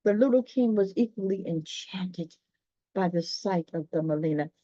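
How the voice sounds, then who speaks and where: A woman's voice: on the low side, normal speed, mellow, dry recording, highs cut off. A woman reading a children's story aloud, explaining it in chatty asides and speaking directly to listeners.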